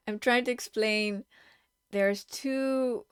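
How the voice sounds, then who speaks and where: A woman's voice: on the high side, steady tone, very slow, clean tone, no room sound, clean studio sound. A woman streaming while she paints, chatting casually with her viewers and often half-whispering to herself as she works. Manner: chatty, reacting to chat with laughs. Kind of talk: casual chat while drawing.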